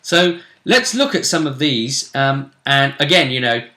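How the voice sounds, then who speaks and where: A man with a bright, forceful voice talks as the host of a casual tabletop gaming recap. His speech is informal and conversational, recorded with noticeable room echo. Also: medium pitch, sing-song, a little slow. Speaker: host and game master of a tabletop role-play recap. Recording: roomy, echoey sound.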